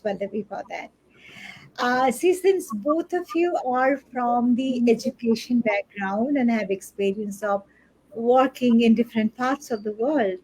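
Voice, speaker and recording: Indian woman host, higher voice, a bit husky, polite and formal. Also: a little mellow, rough recording. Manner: polite, formal, reads prepared questions. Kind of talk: interview hosting.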